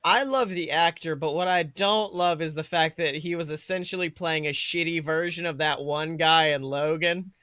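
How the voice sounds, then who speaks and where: Woman podcast host riffing on movies, sweary and blunt, jokey, animated, really dry sound. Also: deep voice, normal speed, few pauses, dry recording. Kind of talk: casual film review chat.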